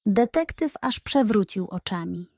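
A woman narrating a scripted bedtime story for children in an expressive, suspenseful way. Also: mid-range voice, a little slow, dry recording, clean studio sound.

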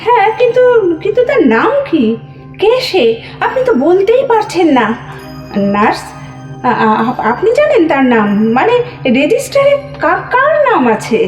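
Woman acting a very high-pitched, animated sceptic. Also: moderate pace, reverberant, soft background music, rough recording. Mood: insistent. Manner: questioning, challenging. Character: excitable, sceptical woman questioning a suspect. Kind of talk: audio drama acting.

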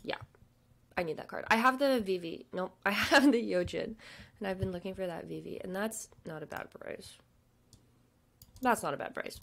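A young woman with a stutter, chatting casually at her desk about shopping, with lots of fillers and soft murmured asides. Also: on the low side. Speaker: vlogger. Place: home desk.

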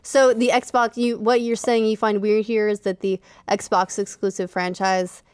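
Woman in her 30s, casual gaming podcast cohost, medium pitch, really dry mic. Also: little pitch movement, a little slow, clear, resonant tone, dry recording. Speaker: podcast co-host. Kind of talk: casual panel chat about gaming. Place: indoor podcast studio. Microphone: close studio microphone on desk.

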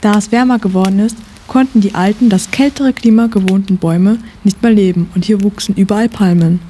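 A woman's voice: mid-range voice, brisk, mellow, a little hiss or hum, close to an everyday voice. A woman playing a practical, knowledgeable young forest survivor in an amateur audio drama. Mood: matter-of-fact.

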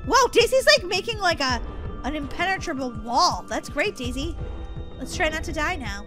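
A woman with an excitable, exaggerated kid-show delivery, doing playful role-play commentary as a gaming host. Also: high-pitched, moderate pace. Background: music behind the voice.

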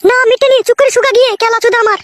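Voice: very high-pitched, steady tone, racing, a bit bright. A performer voicing a bossy, short-tempered village wife in a comic cartoon, scolding curtly. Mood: furious, threatening. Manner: scolding, curt, threatening. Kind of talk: exaggerated comic cartoon dialogue. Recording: clearly noisy track with clicks.

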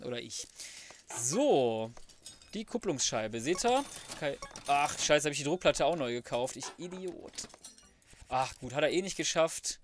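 A man's voice: on the high side, a little hiss or hum. A young man talks through a video game. He thinks aloud casually, muttering and grumbling mildly in colloquial speech. Music plays behind him. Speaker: let's play host. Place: indoors, voice-over recorded apart from the game.

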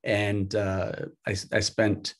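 Low-voiced man, hesitant panelist. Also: monotone, slow pace. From Afghanistan. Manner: hesitant, many fillers. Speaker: filmmaker panelist. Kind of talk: casual panel talk. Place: home room, video call. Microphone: video call.

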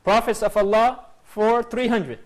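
A man's voice: sits high, steady tone, measured pace. A man in his thirties hosting a TV quiz show from a studio, upbeat and formal as he greets teams and reads out clues. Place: TV studio set.

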